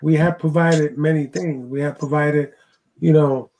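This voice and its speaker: A man in his sixties talks passionately over a video call from a room at home, using a headset mic. His voice sits a little high, and he leans on "you know" and "listen, man" as he builds his points. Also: fairly even intonation, unhurried, darkish tone, poor audio. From Puerto Rico. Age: 60s. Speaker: co-host. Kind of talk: casual video call chat.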